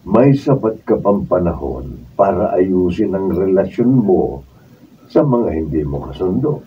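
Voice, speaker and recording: A man's voice: sits low, very animated, measured pace, husky, lo-fi sound, exaggerated voice. A man playing a wise, consoling elderly brother in a radio drama, earnest and philosophical, with a Filipino accent. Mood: earnest, encouraging. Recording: distant, phone-thin, noisy room recording.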